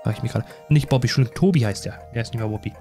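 A man's voice: medium pitch, very fast, warm tone, soft background music. A man doing casual, rambling let's play commentary in a self-deprecating, chatty way. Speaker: gaming YouTuber.

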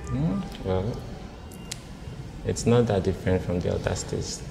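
A Nigerian man in his thirties on a blind date, talking in a hesitant, halting way with many restarts. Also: deep voice, animated, vocal fry, in a large hall, over music. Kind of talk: casual conversation. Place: indoor restaurant with large windows. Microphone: lapel mic.

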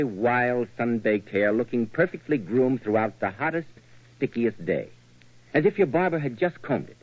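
A man announcing in scripted 1940s radio style, reading like a sponsor's pitchman. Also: no echo. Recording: tinny, dry old radio recording.

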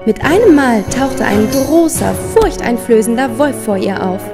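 A woman's voice: fairly high pitch, animated, unhurried, long reverb tail. Female fairy-tale narrator over music. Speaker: storyteller.

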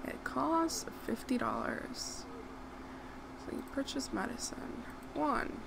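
A woman's voice: high-pitched, wildly swooping pitch, slow pace, vocal fry. A young woman from Rico, chatting casually over gameplay and scolding the characters on screen. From Puerto Rico. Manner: chatty, talks to the game characters, bursts of outrage. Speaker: gaming YouTuber. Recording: noisy home recording.

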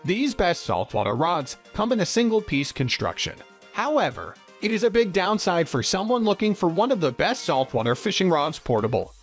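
A man narrates a scripted product review in a fairly high voice. His intonation is very lively, rising and falling widely from phrase to phrase. Faint music plays behind him. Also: normal speed.

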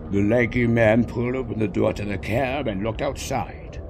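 A man's voice: medium pitch, fairly even intonation, normal speed. A man reading a scripted story as its narrator. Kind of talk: scripted narration of fan fiction. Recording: clear background noise.

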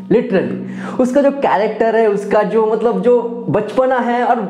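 A man's voice: very high-pitched, animated, takes it slowly, mellow, faint music behind. A young man giving casual, enthusiastic video commentary, often addressing his viewers directly like friends. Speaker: anime review YouTuber. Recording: roomy echo.

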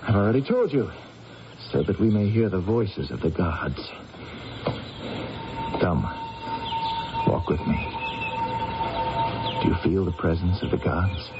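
An actor playing a shrewd, seasoned warrior counsellor of ancient Britain, measured and persuasive, in radio drama style. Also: male voice, low-pitched, huge pitch swings, exaggerated voice. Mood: reverent, insistent. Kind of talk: 1980s radio drama acting. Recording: dull, noisy old radio broadcast recording.

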